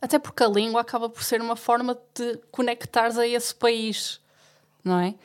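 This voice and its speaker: A woman who interviews a guest, asking measured questions and giving brief encouraging replies. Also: on the high side, level tone, clean tone. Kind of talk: interview conversation.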